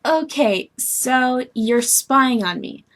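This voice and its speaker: Woman playing brash young alien woman, raised pitch, husky, slangy, sarcastic, moody, excitable, web drama audition. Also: slow, full character voice. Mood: defensive, annoyed. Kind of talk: scripted audition dialogue.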